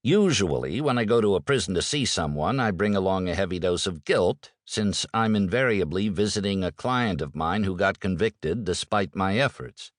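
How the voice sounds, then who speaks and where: A man's voice: deep voice, animated, normal speed, few pauses, dry recording, studio quality. A man reading a first-person audiobook in a wry, conversational manner. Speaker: audiobook narrator.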